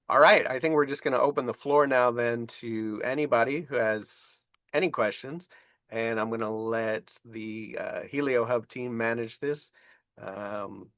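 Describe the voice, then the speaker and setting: A man moderating a science webinar over a video call, upbeat and quick to affirm. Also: medium pitch, a bit bright, no room sound, clean studio sound.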